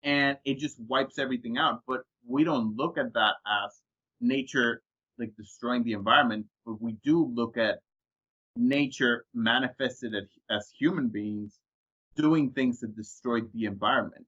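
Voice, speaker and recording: A man co-hosting a casual podcast, talking in an animated way, swearing freely and acting out his examples. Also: mid-range voice, unhurried. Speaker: podcast co-host. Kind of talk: casual chat. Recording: dull lo-fi sound.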